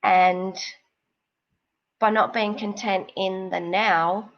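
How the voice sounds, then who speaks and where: A woman with a cold and a snuffly nose, giving psychic card readings on a live stream in a slow, rambling, reassuring way. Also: mid-range voice, steady tone, dull audio.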